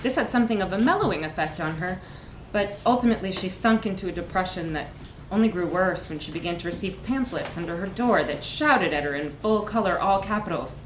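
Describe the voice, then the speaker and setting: A woman's voice: lowish voice, expressive, fairly quick, a little hiss or hum. Woman reading wryly in an echoey room. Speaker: short story reader. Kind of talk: animated literary narration, live reading.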